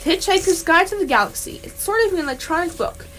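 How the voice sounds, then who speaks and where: A woman playing a calm, practical alien hitchhiker in an amateur radio play. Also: extremely high pitch, quick pace. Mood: practical, explaining. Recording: clear background noise.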